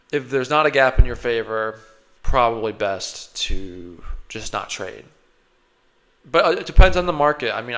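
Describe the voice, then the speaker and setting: A man's voice: medium pitch, a bit bright. A man with a slight cold, sniffling as he rambles through a self-critical monologue close to his microphone. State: a little sick, sniffling. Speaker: day trader reflecting on his own trading. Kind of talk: casual self-reflective monologue.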